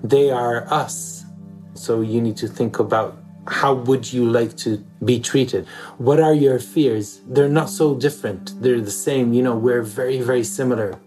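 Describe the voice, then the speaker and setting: A man from East Jerusalem talks reflectively as an interview guest, with a medium-pitched voice. He is earnest and repeats words to stress them. Faint music plays behind him. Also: steady tone, measured pace, darkish tone. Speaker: interview guest, theater director.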